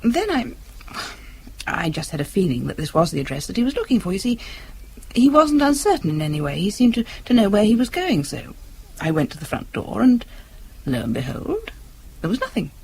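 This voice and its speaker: An actress playing a spirited, playful, self-assured young expectant wife in a radio drama. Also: female voice, medium pitch, wildly swooping pitch, brisk, creaky, darkish tone. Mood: intrigued. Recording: noisy old radio drama recording.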